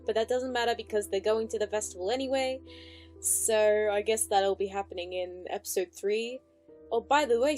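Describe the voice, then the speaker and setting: Female reaction host: casual, enthusiastic, mid-range pitch, faint music underneath. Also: a little mellow. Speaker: reaction commentator, channel host.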